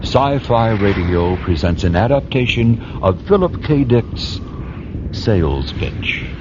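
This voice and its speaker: A man as announcer and narrator of a radio drama, reading in a measured, formal way. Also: fairly low pitch, big pitch swings, background noise. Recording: dull recording under music.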